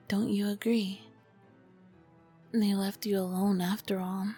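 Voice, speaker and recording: Woman playing a slow, whispering, seductive vampire queen. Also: mid-range voice. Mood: coaxing, seductive. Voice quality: soft, breathy whisper. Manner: slow, elegant, formal, seductive diction. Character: ancient royal vampire queen, female, charming, flirty, possessive, poised. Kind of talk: whispered ASMR roleplay.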